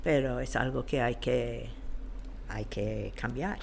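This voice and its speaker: Older woman, slow and halting. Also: very deep voice, creaky, a little hiss or hum. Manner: halting, searching for words. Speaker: podcast guest. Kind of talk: interview answers, reflective.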